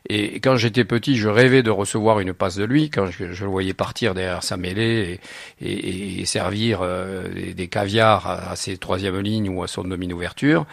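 Gravelly southern French man, hesitant. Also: low-pitched, steady tone, normal speed, dry recording. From Narbonne, southern France. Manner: hesitant, many fillers and repeated words. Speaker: lawyer, supporters' association co-president. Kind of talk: radio interview answers.

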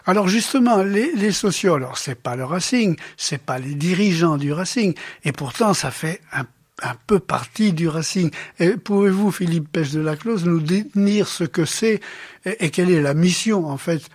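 A male interviewer with a fairly high voice asks questions in a lively, chatty way. The recording sounds close and dry, with no room around it. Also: moderate pace, slightly rough, no echo. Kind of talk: local radio interview.

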